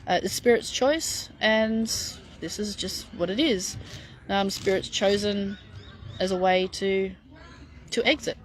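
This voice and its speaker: Middle-aged woman, casual live q&a, frank and chatty, halting with fillers. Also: medium pitch, a little hiss or hum. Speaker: spiritual channeler answering viewer questions.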